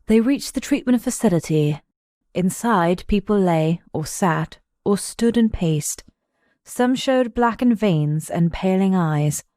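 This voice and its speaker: Dark, mellow female narrator, dry recording. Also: mid-range voice, lively intonation, slow pace, no room sound. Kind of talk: scripted audio drama narration.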